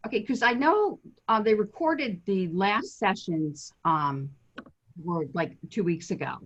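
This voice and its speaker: A woman's voice: mid-range voice, lively intonation, measured pace, muffled recording. A woman on a webinar call asking questions, hesitant and probing.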